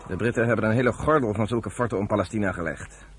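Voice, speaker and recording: An actor playing a steady, affectionate young farm son in a radio drama, earnest and reassuring. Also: male voice, on the low side, quick pace, close to an everyday voice. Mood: proud, earnest. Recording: noisy old radio play with farm animal sounds.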